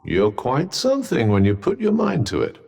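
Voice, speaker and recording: A man's voice: mid-range voice, wildly swooping pitch, moderate pace, gravelly, darkish tone, in a large hall, full character voice. A man with a British accent voicing a haughty, sardonic magical cuff companion in a video game, with pompous, droll, formal delivery. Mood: pleasantly condescending.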